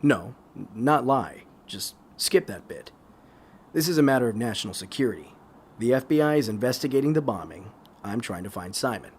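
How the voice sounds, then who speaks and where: An actor playing a gentle but persistent male government investigator in an audio drama, measured and polite. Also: medium pitch, lively intonation, husky. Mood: reassuring, firm. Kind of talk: naturalistic audio drama acting.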